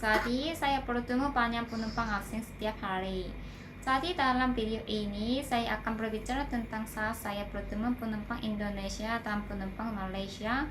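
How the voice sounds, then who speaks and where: A woman's voice: fairly high pitch, fairly even intonation, moderate pace. A woman from Korea with a Korean accent, giving a scripted talk to viewers in careful, formal learner's phrasing, recorded with noise behind her. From South Korea. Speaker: video blogger, airport security worker. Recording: clear background noise.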